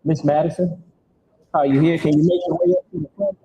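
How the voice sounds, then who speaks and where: A man's voice: wildly swooping pitch, fairly quick, creaky, dark tone, dull audio. A man hosting a live community event in a motivational style, encouraging and directing the people listening. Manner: encouraging, directive, rallies audience to respond. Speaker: event host. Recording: live recording with faint rumbling noise.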